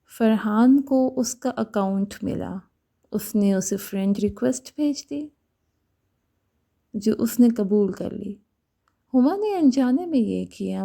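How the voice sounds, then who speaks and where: A woman narrates a romantic story in a very dark, mellow voice. Her delivery is slow and scripted, with a creaky edge to a fairly high voice.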